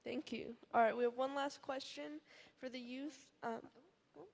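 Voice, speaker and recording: A woman's voice: high-pitched, steady tone, moderate pace, gravelly. A woman in her teens facilitating a panel discussion and putting questions to the speakers.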